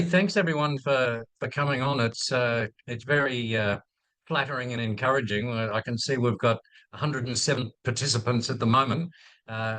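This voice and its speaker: A man's voice: mid-range voice, fairly bright tone, no room sound, rough recording. A man in his fifties with an Australian accent, speaking unscripted on a video call with many ums and restarts. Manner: hesitant, many fillers and restarts. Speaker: infectious disease physician, program director. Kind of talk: unscripted talk in a webinar.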